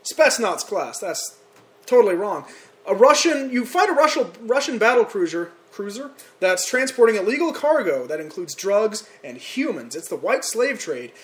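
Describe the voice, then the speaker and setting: A woman's voice: medium pitch, big pitch swings. Crude, teasing woman hosting a podcast. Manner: crude, teasing, rapid-fire. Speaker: podcast host. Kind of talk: profane casual banter.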